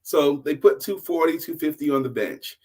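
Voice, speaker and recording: A man's voice: on the high side, normal speed, a little mellow. Male storyteller, middle-aged. Conversational and emphatic, playing to listeners, acting out bits of dialogue. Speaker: storyteller and sales coach. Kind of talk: casual storytelling to an audience.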